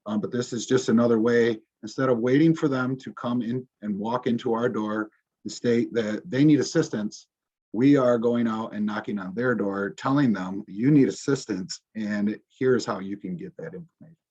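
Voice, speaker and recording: A man's voice: medium pitch, normal speed, a little mellow, breathy edge. A man, a police officer presenting slides to a community audience, speaking informally with many ums and restarts. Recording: dull, muffled recording.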